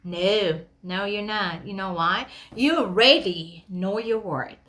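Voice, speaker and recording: A woman's voice: medium pitch, slow, fairly bright tone. A woman giving a motivational talk, speaking directly to listeners in an emphatic, conversational way with rhetorical questions. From Kenya.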